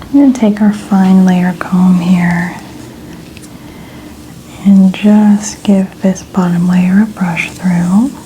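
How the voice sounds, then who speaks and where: Slow, hushed ASMR woman, often whispering. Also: fairly low pitch, flat delivery, a little hiss or hum, rough recording. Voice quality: soft, breathy, often whispering. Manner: gentle, soothing, step-by-step explaining. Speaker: ASMR host playing hairstylist. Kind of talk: soft-spoken ASMR narration of hairstyling.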